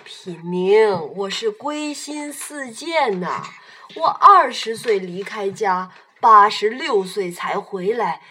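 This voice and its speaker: A woman voicing a gentle, homesick old scholar of eighty-six in a children's radio play, with slow, sighing, drawn-out delivery. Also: medium pitch, slightly rough, pitched below the performer's own voice, full character voice. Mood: wistful, eager.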